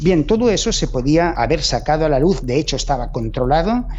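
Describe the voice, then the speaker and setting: A man's voice: on the high side, very animated, fairly quick, a little mellow. A man explaining as an expert interviewee, posing rhetorical questions. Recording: narrow dull sound, light background noise.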